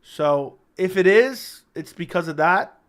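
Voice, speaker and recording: Slightly raspy man reading chat. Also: high voice, lively intonation, slow pace. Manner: joking, reads chat questions aloud and answers them. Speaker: livestream host reading chat. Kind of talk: casual livestream chat.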